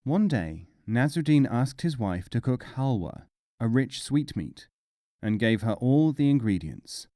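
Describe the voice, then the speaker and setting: A man reading humorous folk tales as a scripted storyteller, in a measured, expressive way. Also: mid-range voice, no echo, studio quality. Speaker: audiobook narrator.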